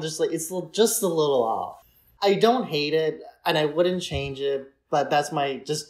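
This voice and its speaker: Man, somewhat high pitch, podcast co-host, informal film chat, conversational, tentative phrasing. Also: a little mellow. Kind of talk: casual chat, film discussion.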